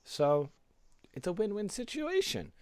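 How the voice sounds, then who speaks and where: A man's voice: high-pitched, wildly swooping pitch, measured pace, darkish tone, clean tone, no room sound. A man co-hosting a podcast in casual, informal conversation, often checking in with rhetorical questions. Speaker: podcast co-host.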